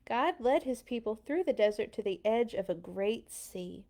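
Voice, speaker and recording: A woman reading stories aloud to children in an expressive, playful way, with casual asides. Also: on the high side, normal speed. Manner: playful, animated in telling. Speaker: children's storyteller at a church read-along.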